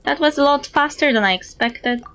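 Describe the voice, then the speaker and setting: A woman's voice: high voice, normal speed, a bit bright. A woman from Europe livestreaming a game, reading dialogue aloud and chatting with her viewers. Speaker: livestreamer, VTuber. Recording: music behind the voice.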